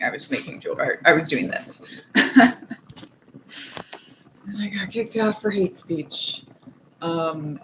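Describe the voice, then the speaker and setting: A woman's voice: lowish voice, a bit bright, slight background noise, rough recording. A young woman chatting casually on a livestream while knitting, rambling and laughing often. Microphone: phone mic with earbuds.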